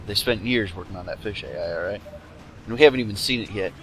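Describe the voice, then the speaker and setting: A man's voice: lowish voice, fast, rough recording. A man co-hosting a let's-play session, making dry, sarcastic jokes about the game. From United States. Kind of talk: casual gaming banter.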